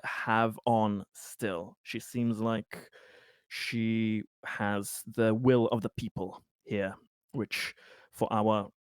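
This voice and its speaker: A man playing a tabletop roleplaying game, improvising an advisory report in a hesitant, halting manner. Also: fairly low pitch, smooth, dry recording, clean studio sound.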